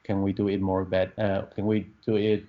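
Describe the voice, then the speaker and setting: Man, fifties, from Taiwan, second-language English, low voice, halting with many restarts, muffled lo-fi audio. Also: monotone. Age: 50s. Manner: hesitant, many restarts and fillers, searching for words. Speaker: film director. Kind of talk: interview answers. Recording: dull lo-fi podcast audio.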